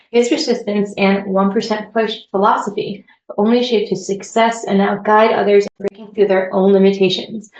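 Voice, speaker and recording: A woman's voice: medium pitch, highs cut off. Upbeat woman host in an echoey room. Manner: upbeat, polished delivery. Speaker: podcast host. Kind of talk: podcast interview hosting.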